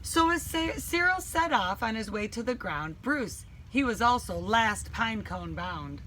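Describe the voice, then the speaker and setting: Slow, high-voiced woman reading a storybook. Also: slight background noise. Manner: animated, dramatic, deliberate emphasis. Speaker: teacher reading a picture book. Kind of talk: expressive children's storybook read-aloud.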